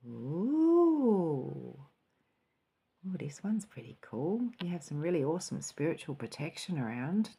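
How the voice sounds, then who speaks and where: A woman giving a spiritual tarot and cup reading in a soft, musing way, sometimes dropping into a quiet, calm voice. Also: deep voice, a little slow, creaky, slightly rough.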